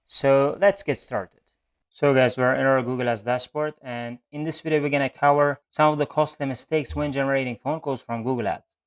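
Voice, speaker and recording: A man's voice: medium pitch, fairly even intonation, moderate pace. A man narrating an instructional screen-share tutorial, explaining step by step with non-native phrasing. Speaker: Google Ads instructor.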